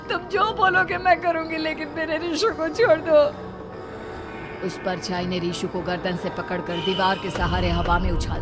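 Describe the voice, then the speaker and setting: A woman telling a horror story as a dramatic, scripted narration. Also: medium pitch.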